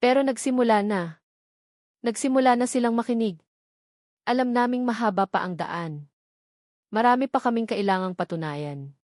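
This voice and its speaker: A woman's voice: on the high side, normal speed, pauses often, darkish tone, dry recording, clean studio sound, lightly acted. A woman voicing an earnest, protective young man in an audio drama, speaking in a tender, reassuring way to the one he loves. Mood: hopeful.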